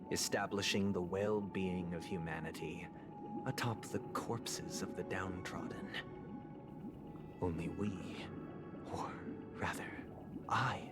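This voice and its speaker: An actor playing a soft-spoken, zealous game villain who is formal, courteous and self-righteous in a measured, lofty way. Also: male voice, on the low side. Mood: solemn, grandiose. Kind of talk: scripted game voice acting.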